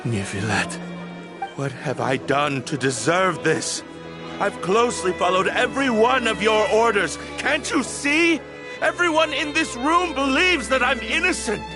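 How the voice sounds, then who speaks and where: A slow, high-pitched male voice that swings widely, a desperate man on trial. He pleads and protests against his verdict. Music plays behind him. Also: animated. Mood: pleading. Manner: pleading, then shouting in anger. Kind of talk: video game voice acting. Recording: game audio with music behind.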